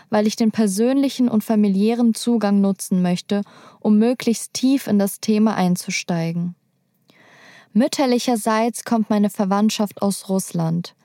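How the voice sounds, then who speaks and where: A young adult woman, a journalist, narrating a personal documentary in a calm, measured, scripted way. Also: mid-range voice, no echo, clean studio sound.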